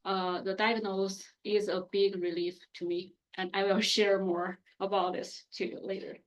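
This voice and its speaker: A woman's voice: medium pitch, level tone, normal speed, rough recording. A woman in her thirties or forties, a product manager, introducing herself on a panel in a straightforward way.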